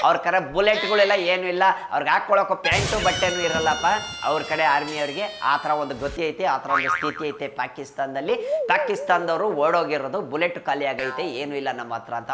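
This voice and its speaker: A man's voice: a little high, heavy background noise. A man with a rustic Karnataka Kannada accent delivers a comic news monologue, folksy and chatty, tossing rhetorical questions at viewers. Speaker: host of a satirical political news segment.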